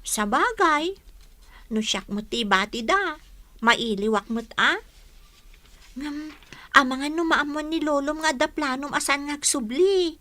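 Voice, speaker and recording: A woman's voice: sits high, expressive, a little slow, pauses often, pushed and bright, full character voice. A woman playing an inquisitive, sympathetic young village girl, frank and questioning, in an Ilocano radio drama.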